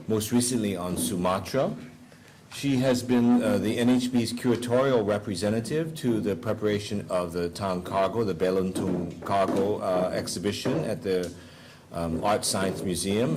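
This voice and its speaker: Deep-voiced man, halting with fillers. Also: background noise. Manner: halting, many ums and uhs. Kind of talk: formal introduction and questions. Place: conference hall.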